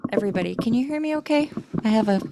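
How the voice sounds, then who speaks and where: A soft-spoken woman giving a public presentation as a company representative, engaging the people she addresses with questions. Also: fairly high pitch, animated, rapid-fire. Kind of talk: public presentation to a community meeting.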